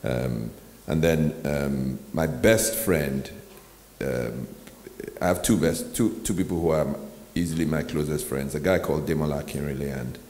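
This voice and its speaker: A pastor with a very low voice answers onstage questions thoughtfully. He pauses often with ums, and his voice rings through a large hall with a long echo. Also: darkish tone. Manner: reflective, with frequent ums and pauses. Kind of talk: onstage interview. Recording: echoey hall with faint noise.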